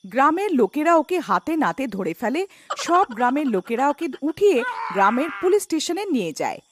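Woman, high voice, expressive swings, scripted storytelling, cartoon narrator. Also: normal speed, noisy background.

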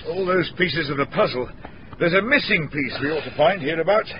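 Casting: male actor as courteous, methodical detective, fairly high animated voice, noisy old-style radio drama sound. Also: a little fast, lo-fi sound, natural voice. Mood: brisk, measured. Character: methodical, courteous detective inspector, quietly authoritative.

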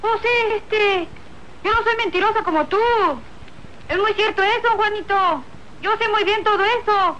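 Feisty ranch girl in radio serial, super high voice, slightly hissy recording. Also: moderate pace, sharp tone, lo-fi sound. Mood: indignant. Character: feisty, sassy, gossipy young ranch woman. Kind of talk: old radio serial acting. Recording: faintly noisy old radio recording.

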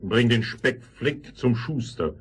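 A man voicing a lazy, greedy fat pig in a children's audio fairy tale, husky and sluggish. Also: medium pitch, lively intonation, slow pace. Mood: lazy, contented.

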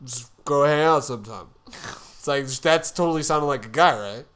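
A man's voice: mid-range voice, moderate pace, a bit bright. A young man giving casual, crude and irreverent commentary as co-host of a gaming show. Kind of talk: casual joking game commentary. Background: video game music.

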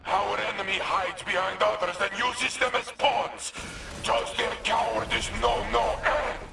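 A man's voice: sits high, unhurried, gravelly. A man playing a hearty, devoted robot warrior in a video game, speaking in a grandiose, emphatic and earnest way. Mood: encouraging. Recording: roomy, distant, music behind.

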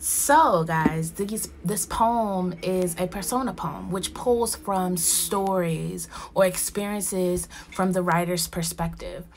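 A woman's voice: on the low side, slow pace, few pauses, slight background noise. Young woman from Ghana teaching poetry. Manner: expressive, addresses students directly and casually. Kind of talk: recorded online poetry lesson. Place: small room indoors at home. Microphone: phone camera at arm's length.

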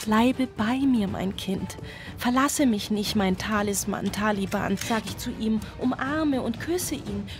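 A woman's voice: mid-range voice, slow, lightly acted. An actress playing an anguished, middle-aged single mother in a German-style radio drama monologue, speaking intensely and poetically. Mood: grieving, tender. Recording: music behind the voice.

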